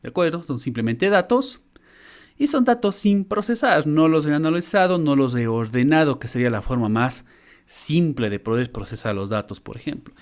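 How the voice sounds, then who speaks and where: A man's voice: a little high, expressive, normal speed. A middle-aged man with a Latin American Spanish accent, lecturing informally in an online class and often checking in with short question tags. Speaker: university lecturer. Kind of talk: explanatory online lesson. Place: indoor room, online class.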